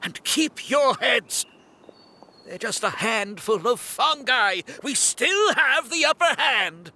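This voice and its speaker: A man's voice: extremely high pitch, very animated, takes it slowly, pushed and bright, heavily acted. An actor playing a scheming male villain in a video game cutscene, barking orders at his men. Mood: commanding. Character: scheming male villain, tournament organizer, defiant.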